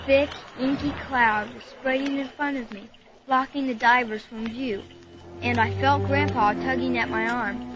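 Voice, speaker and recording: Young boy, high voice, earnest frightened storyteller, radio drama, dull lo-fi sound, music behind. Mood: frightened, urgent.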